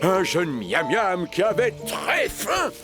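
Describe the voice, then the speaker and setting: High-voiced blustering cartoon bear. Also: male voice, very animated, takes it slowly, exaggerated voice. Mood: storytelling. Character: big, kindly, blustering bear, protective friend. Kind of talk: French cartoon dub acting. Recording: loud music behind the voice.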